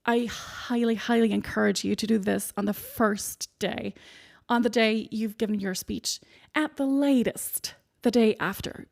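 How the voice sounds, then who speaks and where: A woman with a soothing, somewhat high voice and a gravelly edge hosts her podcast, coaching listeners on public speaking. She works through her advice in numbered points with steady encouragement. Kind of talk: solo podcast advice monologue. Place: home studio in the UK.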